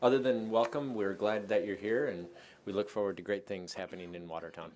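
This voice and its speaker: American man chairing, over clear background noise. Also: on the low side, steady tone, fairly quick. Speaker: mayor chairing a city council meeting. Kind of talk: formal meeting procedure.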